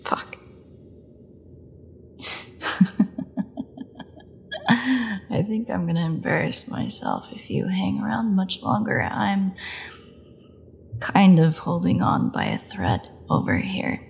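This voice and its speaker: Woman acting a captive vampire woman, sardonic, hungry and teasing, unhurried, low-lit mellow tone, breathy half-whispers, audio roleplay. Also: huge pitch swings, a little hiss or hum, heavily acted. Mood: strained, resigned. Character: starving captive female vampire, taunting, flirtatious, desperate. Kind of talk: scripted audio roleplay monologue.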